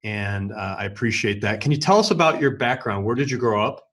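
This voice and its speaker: Low-voiced male podcast host. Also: lively intonation, brisk, a bit bright. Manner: polite, affirming interviewer. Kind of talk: interview hosting.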